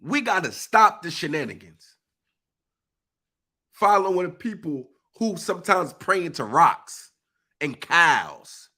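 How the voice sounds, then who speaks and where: Man, congested and a bit husky, emphatic preachy monologue, lively high-ish voice. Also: slow, pauses often. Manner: preachy, emphatic, uses informal speech. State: congested, stuffy nose. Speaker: live-stream speaker. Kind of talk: impassioned live monologue.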